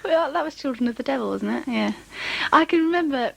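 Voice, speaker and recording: A woman's voice: high voice, lively intonation, a little fast, vocal fry, very noisy. A woman in her thirties chatting casually as an interview guest, hesitant, amused and self-deprecating. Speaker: interviewed actress. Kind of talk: casual reminiscing chat.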